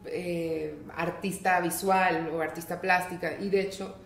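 A young woman with a Colombian accent tinged with Mexican speech, a guest in a casual interview, playful and quick to laugh. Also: fairly low pitch, flat delivery, reverberant, faint music behind. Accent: Colombian, mixed with Mexican. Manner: playful, laughs easily, chatty. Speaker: guest, actress. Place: living room, indoors. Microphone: stand mic on coffee table.

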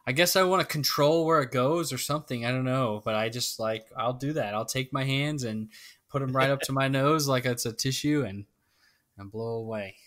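A man's voice: medium pitch, moderate pace, fairly bright tone. Chatty man podcast co-host. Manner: rambling, self-interrupting. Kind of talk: casual chat.

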